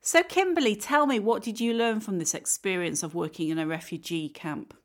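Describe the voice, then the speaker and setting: A woman's voice: medium pitch, moderate pace. A woman hosting a podcast and asking a guest about travel with leading questions. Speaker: podcast host. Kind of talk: interview questions.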